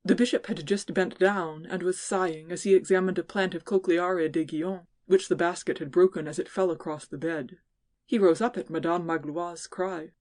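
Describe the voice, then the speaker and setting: Slightly low-voiced woman narrating an audiobook. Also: fairly even intonation, normal speed, a little mellow. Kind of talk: scripted audiobook narration of classic fiction.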